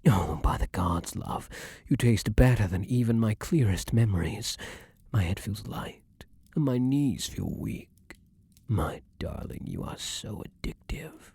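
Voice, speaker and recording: A man's voice: lowish voice, animated, unhurried, gravelly, mellow, husky, no echo, full character voice. A man playing a vampire king, an ardent, protective lover with courtly, formal phrasing, in an intimate ASMR roleplay. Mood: tender, hungry.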